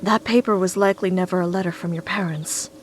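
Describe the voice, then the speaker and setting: A woman playing an ancient goddess of dark woods in a visual novel, speaking in a formal, measured, sorrowful way. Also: lowish voice, steady tone, a little hiss or hum, close to an everyday voice. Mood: grave. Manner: solemn, formal, measured speech. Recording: background music.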